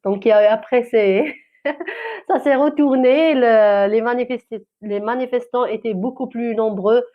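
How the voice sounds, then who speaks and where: A woman journalist from Algeria, a Kabyle speaker, giving a halting personal testimony in an interview. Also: a little high, highs cut off. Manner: halting, often searching for words.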